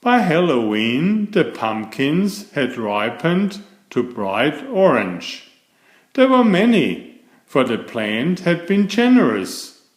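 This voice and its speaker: Male bedtime-story reader, slow and deliberate, very animated intonation, roomy sound. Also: fairly high pitch. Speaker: storyteller, children's author and channel host. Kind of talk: read-aloud children's bedtime story narration.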